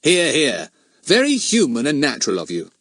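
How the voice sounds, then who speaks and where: An earnest teenage schoolboy detective, as a male narrator plays him, pitched up high and lively. He is well-spoken, confident and a little cheeky, eager to persuade, in a children's storybook reading with a dry, close sound. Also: normal speed, dry recording, full character voice. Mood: hearty. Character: earnest, confident teenage boy sleuth, polite but cheeky.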